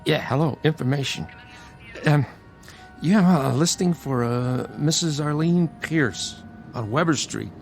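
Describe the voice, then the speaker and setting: A streetwise older brother in a radio drama, battered but protective. His voice is a little high for a man, with a gravelly crackle and lively swings in pitch. He wisecracks in a halting, conversational way, with music underneath. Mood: polite, halting.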